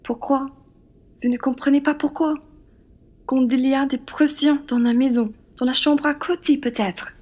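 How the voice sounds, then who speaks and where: A woman's voice: high voice, fairly even intonation, normal speed, close to an everyday voice. A woman playing a proud, defiant young Frenchwoman in an amateur radio play, blunt and indignant. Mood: rebuffing, indignant.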